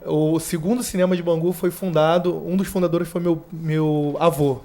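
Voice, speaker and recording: A man in his late thirties from Rio de Janeiro speaks with a Brazilian accent as a studio interview guest. His pitch is slightly high for a man, and he answers in an earnest, formal, measured manner. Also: fairly even intonation, warm tone. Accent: Brazilian Portuguese from Rio de Janeiro. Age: 30s. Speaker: guest, fire brigade commander. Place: university TV studio.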